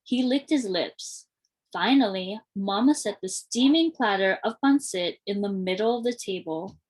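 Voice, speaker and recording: A woman reading a children's storybook aloud in a deliberate, expressive way, with clearly separated phrases. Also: mid-range voice. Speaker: children's read-aloud reader.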